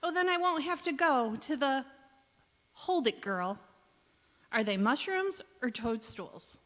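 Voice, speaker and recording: A woman's voice: high-pitched, animated, slow pace, a bit bright, exaggerated voice. A woman playing an eager, chummy housewife neighbour in a vintage radio comedy on stage, with exclamatory delivery. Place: large indoor hall, live stage performance. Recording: reverberant church hall stage recording.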